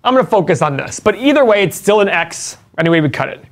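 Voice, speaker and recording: A man's voice: high voice, very animated, fast talker. A middle-aged man co-hosting a casual finance chat, explaining numbers step by step and asking rhetorical questions. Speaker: co-host and stock analyst.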